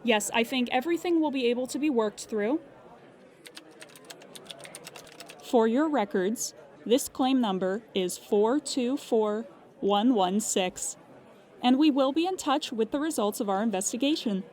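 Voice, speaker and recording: A woman's voice: fairly high pitch, a little mellow, lightly acted. A woman playing a dryly weary, procedural insurance claims agent in an improvised, conversational audio drama. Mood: professional, reassuring. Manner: procedural, measured, wry asides when musing.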